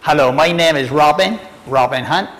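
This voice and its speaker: An older man from Barbados with a Barbadian accent, telling his own story in a relaxed, friendly way. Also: on the high side, moderate pace, long reverb tail, faint music behind. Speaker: tenor guitarist. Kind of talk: personal storytelling to camera.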